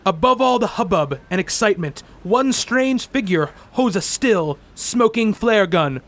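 A man's voice: high voice, expressive, a bit bright, exaggerated voice. A man playing a theatrical comic-book narrator, declaiming scripted narration in an amateur radio play. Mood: dramatic. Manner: melodramatic, fast, declamatory.

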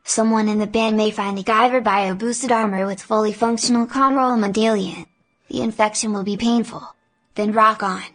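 A woman reading out a viewer donation in a deadpan manner. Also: medium pitch, moderate pace. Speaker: donation reader.

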